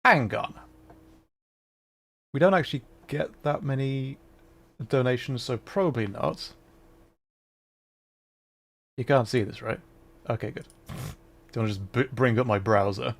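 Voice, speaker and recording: A man streams a video game and chats casually as he goes. His voice sits at an ordinary middle pitch, and his manner is self-deprecating and apologetic. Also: a little slow, creaky, pauses often. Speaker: livestreamer, VTuber. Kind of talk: casual livestream chat while playing a game.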